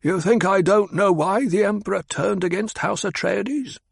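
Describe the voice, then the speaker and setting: Man putting on a cold sneery old advisor, higher and snappier, raspy edge. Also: moderate pace, no room sound, full character voice. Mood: scornful. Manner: clipped, cutting, sardonic. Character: cold, scornful old male mentat advisor.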